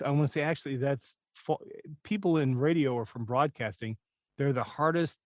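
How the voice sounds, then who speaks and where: A man's voice: medium pitch, darkish tone, no echo, studio quality. A man talking casually as a guest voice-over coach, candid and self-deprecating as he shares anecdotes. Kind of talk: casual conversational interview talk.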